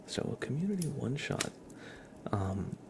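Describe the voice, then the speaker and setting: A man hosting an ASMR livestream, chatting casually and softly, often slipping toward a whisper, with noise behind him. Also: mid-range voice, big pitch swings, moderate pace. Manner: soft-spoken, often drops to a whisper. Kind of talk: soft casual ASMR chat. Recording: clear background noise on livestream.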